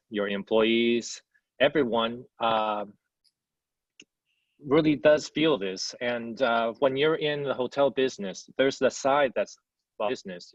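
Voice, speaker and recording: A man with a mid-pitched voice answers interview questions in a casual, thoughtful way, pausing and picking his words. The recording is dry and slightly muffled, with little room sound. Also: no echo. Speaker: interview guest, hotel executive. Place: indoors at a hotel.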